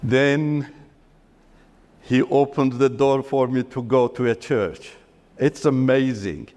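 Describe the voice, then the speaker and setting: A middle-aged man from Iran with an Iranian accent, whose first language is Turkish, giving his personal testimony in an earnest, halting way with restarts. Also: mid-range voice, a little mellow, in a large hall. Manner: earnest, halting with restarts, stresses key words.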